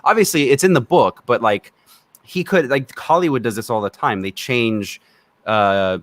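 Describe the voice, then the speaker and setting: A man's voice: medium pitch, moderate pace, no room sound. A man from Romania who co-hosts a film review podcast, talking casually, opinionated and freely swearing.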